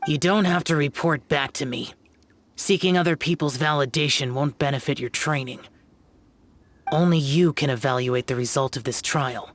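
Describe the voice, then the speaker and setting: A man's voice: fairly high pitch, normal speed, a bit bright, a little hiss or hum, natural voice. A man playing a stern, aloof young immortal warrior who instructs tersely in a video game. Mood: stern, calm. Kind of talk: English video game voice acting.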